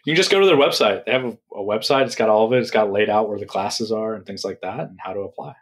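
A man answering interview questions in a relaxed, conversational way, often checking in with "right?". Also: fairly low pitch, fast, breathy edge. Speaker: podcast guest.

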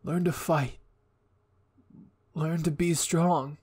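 Man acting a weary, husky young anime villain. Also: a little high, fairly even intonation, darkish tone, full character voice. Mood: weary, remorseful. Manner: halting, weak, pleading. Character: wounded, remorseful young male villain, weary and soft. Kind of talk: anime ASMR roleplay acting.

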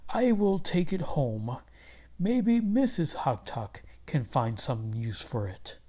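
A woman's voice: deep voice, sing-song, slow, warm tone, full character voice. A woman voicing a mild, marveling old farmer husband in a storybook, speaking in an earnest, exclamatory way. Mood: puzzled, wishful. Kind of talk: storybook character voice.

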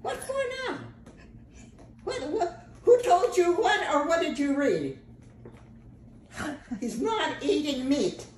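Feisty, high-pitched woman in her nineties. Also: big pitch swings, takes it slowly, long pauses, a little hiss or hum. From United States. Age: mid-nineties. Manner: feisty, blunt, swears freely. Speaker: grandmother filmed for family social clips. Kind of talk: casual home chat. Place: living room, indoors. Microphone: phone camera a few feet away. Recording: roomy, echoing home phone video.

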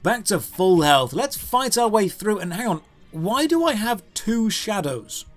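A man narrates a comedic game review in a high, swooping voice. His delivery is sarcastic and exasperated, clearly scripted for a voice-over, with music playing behind him. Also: sing-song, a little slow.

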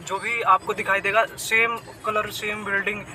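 A man's voice: sits high, flat delivery, normal speed. A young man from Bihar, chatting casually as a travel vlogger on a phone held at arm's length, repeating himself and mixing in English words. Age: young adult. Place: outdoors in the city, then inside a moving car. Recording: city traffic noise behind.

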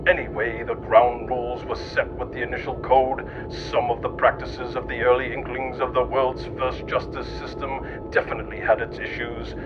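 A man's voice: little pitch movement, moderate pace, few pauses. A man reading scripted comedic mock history as a narrator, in a theatrical, mock-pompous manner. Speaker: narrator and writer. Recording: muffled with clear rumbling noise.

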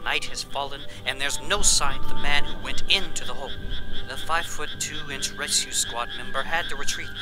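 A man playing a formal, measured television news reporter in a horror manga dub. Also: medium pitch, wildly swooping pitch, over loud music, low-quality recording. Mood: grave, formal. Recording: loud background sound bed.

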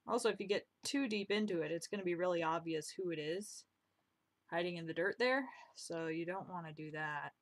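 A woman's voice: fairly low pitch, fairly quick. Young woman muttering while drawing. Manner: chatty, self-deprecating, muttering asides. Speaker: drawing vlogger. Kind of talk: casual commentary while drawing. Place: home room, indoors.

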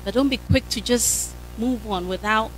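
A woman's voice: on the high side, normal speed, a little hiss or hum. A woman in her thirties preaching a sermon with a handheld mic in a church hall, fervent and punctuated by hallelujahs.

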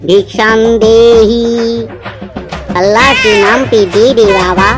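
Woman voicing a slow, mournful wandering beggar. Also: fairly low pitch. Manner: drawn-out chanting plea. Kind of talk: comedy cartoon voice acting.

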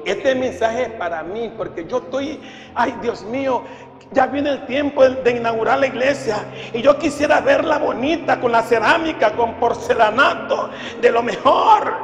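A man's voice: very high-pitched, normal speed. An older man preaching an impassioned sermon as a pastor, emphatic, with rhetorical questions and repeated phrases. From Ecuador. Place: large church hall, indoors, before a congregation. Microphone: handheld microphone through PA. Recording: echoey church hall, music behind.

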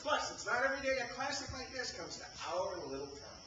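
Stage comedy role: man as pedantic, exasperated culture defender, high swooping voice, distant echoing recording. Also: sing-song, fast talker, lo-fi sound. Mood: defensive. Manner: emphatic, pedantic, exasperated replies. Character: exasperated, earnest male theatergoer deferring to critics. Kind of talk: live stage comedy acting. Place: theater festival stage, recorded from the audience. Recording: very echoey, noisy, distant live stage capture.

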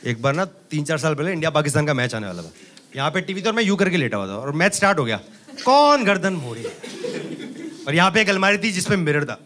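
A man in his thirties performing an animated stand-up comedy set on a club stage with a handheld mic, teasing the audience. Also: high-pitched. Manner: animated, gestures a lot, teases the audience. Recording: loud crowd laughter and noise. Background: audience laughter.

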